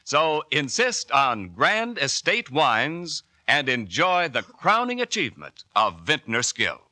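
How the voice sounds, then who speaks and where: A man reading a 1940s radio commercial as announcer, with a polished sales pitch. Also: wildly swooping pitch, takes it slowly, sharp tone, dry recording. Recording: dry old radio broadcast.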